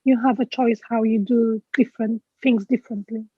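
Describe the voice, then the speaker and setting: Polish-accented woman, high voice, earnest and hesitant, thin phone-like call audio. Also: steady tone, normal speed, husky, no room sound. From Poland. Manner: earnest, hesitant with many fillers. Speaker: guest speaker. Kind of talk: interview answers. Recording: tinny dry phone-like sound.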